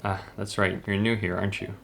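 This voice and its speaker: A man playing a composed, sceptical hospital doctor in a horror manga dub, measured and clinical, with a gravelly voice. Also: lowish voice, little pitch movement, a bit bright, slight background noise. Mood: musing.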